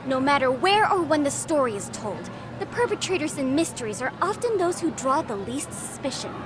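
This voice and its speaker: A woman's voice: sits high, sing-song, brisk, background noise. A woman playing an eager, pompous teenage girl who is president of a mystery club, in a dramatic video game style. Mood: accusing, confident. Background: background music.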